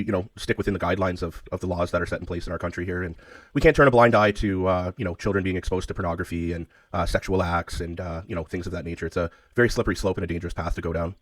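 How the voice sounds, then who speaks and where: A man making a phone call, speaking in a halting, hesitant way, polite but persistent. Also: low voice, dry recording. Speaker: caller, self-described media interviewer. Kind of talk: phone conversation.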